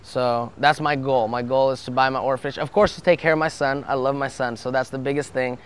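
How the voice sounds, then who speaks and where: A man's voice: mid-range voice, steady tone, a little fast, slight background noise. A young man from Brazil, speaking earnestly in a documentary interview and often stopping to restart his sentences.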